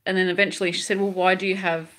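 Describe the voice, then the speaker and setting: A woman's voice: fairly low pitch, flat delivery, very fast, fairly bright tone, low-quality recording. A woman born in England whose accent mixes English and Australian, hosting a casual live chat and reading viewer comments aloud. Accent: English with some Australian. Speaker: crochet channel host.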